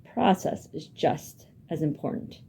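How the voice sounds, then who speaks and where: A woman's voice: low-pitched, lively intonation, measured pace, vocal fry, warm tone, breathy edge. A woman children's librarian leading a hands-on building lesson, asking the young viewers lots of encouraging questions. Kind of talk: hands-on craft lesson for kids.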